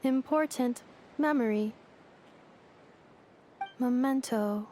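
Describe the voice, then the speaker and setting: A woman's voice: on the high side, takes it slowly, dark tone, slight background noise. A woman voicing a curious, emotionally naive machine character in a video game, with stiff, formal, clinical phrasing. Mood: earnest puzzlement. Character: literal-minded female machine being, curious, emotionally naive.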